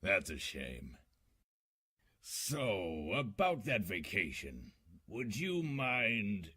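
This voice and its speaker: Casting: man to play a gruff, wry 1940s radio-drama commissioner, deep raspy voice, tough and deadpan. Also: very slow, full character voice.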